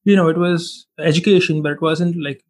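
A man in his twenties from India whose first language is Hindi, a guest in a relaxed interview, reflecting on his past and often saying "like" and "you know". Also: a little high, fairly quick, slight background noise. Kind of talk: casual interview chat.

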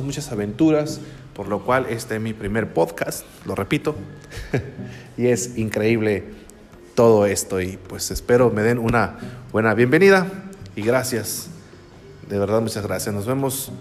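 A man's voice: medium pitch, long reverb tail. A young man from Sinaloa, Mexico, with a Sinaloan accent, a radio announcer chatting casually and a little rambling, with fillers and laughs, on his podcast. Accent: northwestern Mexican Spanish (Sinaloa). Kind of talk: casual first-person podcast chat.

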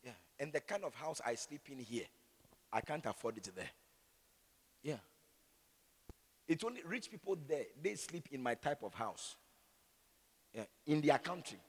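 A man with a Ghanaian accent and a raspy voice, preaching in an animated, conversational way full of rhetorical questions and repeated phrases. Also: mid-range voice. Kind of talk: sermon with conversational, opinionated asides. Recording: echoey hall with long reverb.

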